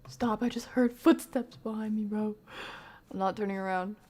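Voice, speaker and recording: A woman doing casual live commentary on a horror game, talking to chat in slang and thinking out loud as she reacts. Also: medium pitch, fairly even intonation, normal speed. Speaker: game streamer.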